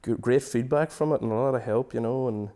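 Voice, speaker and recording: Man, Donegal Irish accent, mellow dark tone, halting, lots of restarts, fades at ends of phrases. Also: medium pitch. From Donegal, Ireland. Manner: hesitant, many restarts, trails off softly. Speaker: singer-songwriter guest. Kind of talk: casual interview chat. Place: radio studio.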